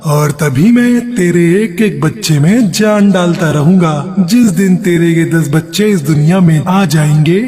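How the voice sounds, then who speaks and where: A man's voice: on the high side, unhurried, hardly any pauses, a little mellow, soft background music, close to an everyday voice. A man voicing a stern, powerful mystic figure in a cartoon horror story, speaking in a solemn, commanding way. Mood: ominous. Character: stern, powerful male devil or forest mystic. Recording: long hall-like reverb.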